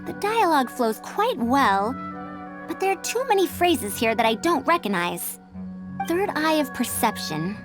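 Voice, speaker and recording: A woman's voice: high-pitched, sing-song, measured pace, music in the background. A woman playing a prim, youthful-sounding old female scholar in an anime-style game, speaking in a formal, pedantic way. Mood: puzzled, analytical. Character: bookish, prim female scholar over a hundred years old, youthful and opinionated.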